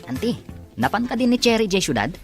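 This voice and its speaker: Animated young family friend. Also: male voice, high-pitched, brisk, faint music behind. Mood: questioning, urging. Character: casual, talkative young male family friend. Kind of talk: Philippine radio drama acting.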